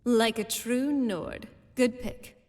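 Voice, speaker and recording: An actress playing a young, wry, world-weary vampire companion in a fantasy game, speaking in an unhurried way. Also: female voice, on the high side, darkish tone. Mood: wry, friendly. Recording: long hall reverb, music behind.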